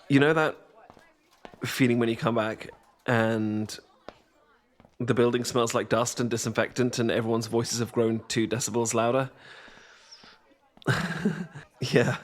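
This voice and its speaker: A man's voice: medium pitch, fairly even intonation, slow, long pauses, close to an everyday voice. A man performs a young British student with a mid-range voice, secretly sweet on his best mate. He speaks in a hesitant, stumbling way, full of nervous self-deprecation. It plays as a casual, intimate ASMR audio roleplay. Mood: wry, rambling.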